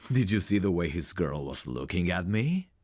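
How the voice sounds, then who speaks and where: A man's voice: low voice, very animated, normal speed, darkish tone. A man playing a cocky, smug prizefighter in an ASMR roleplay audio drama, with a boastful, teasing, self-assured manner. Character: cocky, smug male prizefighter, proud of his looks. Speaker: voice actor.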